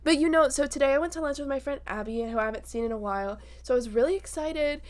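A woman's voice: sits high, fast talker, a little mellow. A young woman co-hosting a podcast, chatting in a bubbly way and laughing easily while congested and sniffly. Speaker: podcast co-host. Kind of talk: casual chat.

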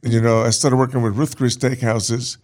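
A man's voice: medium pitch, little pitch movement, moderate pace, a little mellow. An older man from Cuba, raised in New Orleans, telling his story as a restaurant-owner guest in a plain, matter-of-fact way. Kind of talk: radio interview.

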